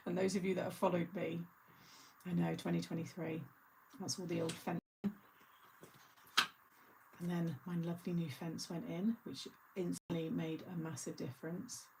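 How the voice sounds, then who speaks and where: A woman's voice: fairly low pitch, flat delivery, moderate pace, gravelly, background noise. A middle-aged woman hosting a craft channel, chatting casually and rambling through asides with frequent laughter. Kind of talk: casual live chat.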